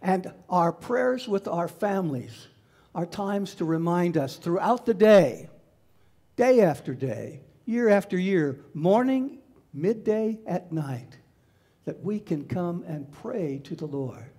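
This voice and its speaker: An older man preaching a sermon as pastor in a large church sanctuary, in a measured way with repeated phrases, on a headset mic. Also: sits high, expressive, mellow.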